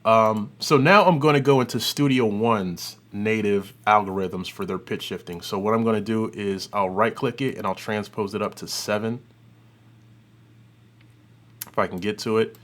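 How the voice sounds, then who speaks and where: Guy with a lowish voice walking thru audio software, off the cuff, kinda thinking out loud. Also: normal speed. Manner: unscripted, thinking aloud while working. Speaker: host of an audio software tutorial. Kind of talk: informal demonstration and review commentary.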